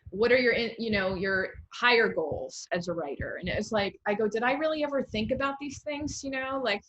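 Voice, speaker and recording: Bright-voiced American woman hosting an interview. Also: a little high, brisk, dull audio. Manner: encouraging, thinking aloud. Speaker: interviewer, host, poet. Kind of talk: casual literary interview chat.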